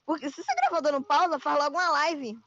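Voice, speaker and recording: A man's voice: extremely high pitch, fast talker. A young male host casually chatting and teasing friends while playing an online game. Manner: excitable, teasing, sing-song outbursts. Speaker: channel host. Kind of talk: casual gameplay chat. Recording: dull lo-fi voice chat.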